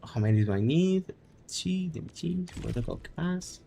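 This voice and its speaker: A man's voice: a little high, expressive, normal speed, vocal fry, warm tone, slight background noise. A man streaming a game, chatting casually and rambling as he thinks aloud. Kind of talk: casual live-stream chat while playing. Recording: game music behind the voice.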